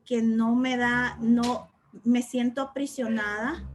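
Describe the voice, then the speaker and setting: A woman's voice: on the high side, flat delivery, takes it slowly, soft background music. A woman teaching a spiritual study class, speaking reflectively and repeating phrases for emphasis. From El Salvador.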